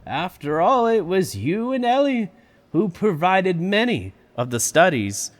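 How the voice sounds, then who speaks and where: A high, slow-talking old man, played by an actor in an audio drama. He is a grandiose, cheerful park founder with a theatrical, lively swing to his speech. Music plays beneath him. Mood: smug, reassuring. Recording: music under the voice.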